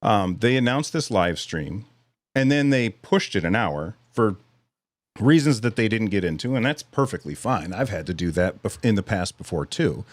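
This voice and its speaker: A man hosting a live podcast in casual chat, a little hoarse, rambling and self-deprecating with frequent restarts. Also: on the low side, expressive, normal speed, no echo. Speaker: podcast host.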